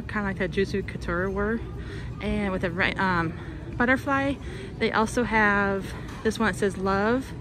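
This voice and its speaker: A woman's voice: fairly high pitch, noisy background. A woman vlogger chatting casually and upbeat with lots of fillers as she films clothes on a phone inside a big store. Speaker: shopping vlogger. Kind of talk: casual shop-with-me narration. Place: inside a large retail store. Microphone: phone camera held in hand.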